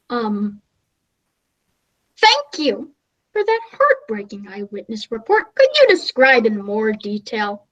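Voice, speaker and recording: A woman playing an earnest, melodramatic, easily flustered radio news reporter in a comic radio play, halting and polite. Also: fairly high pitch, muffled recording, full character voice. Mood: awkward, halting.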